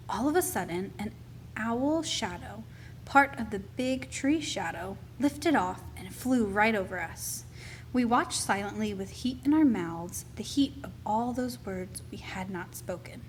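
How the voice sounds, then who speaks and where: A woman reading a children's picture book aloud as expressive, deliberate storytelling. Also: mid-range voice, a little hiss or hum, low-quality recording.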